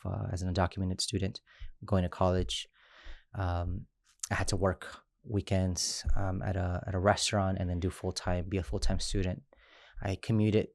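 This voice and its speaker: A man in his thirties from Mexico, an immigrant rights organizer speaking in an interview, halting with frequent restarts. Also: deep voice, creaky, darkish tone, dry recording, clean studio sound. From Chiapas, Mexico. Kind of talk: interview conversation.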